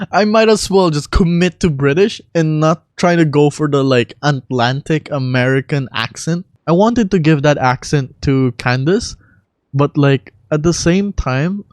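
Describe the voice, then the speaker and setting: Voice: mid-range voice, darkish tone, dry recording, studio quality. An amateur voice actor chatting casually between takes, swearing freely and poking fun at their own attempts. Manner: foul-mouthed, self-mocking.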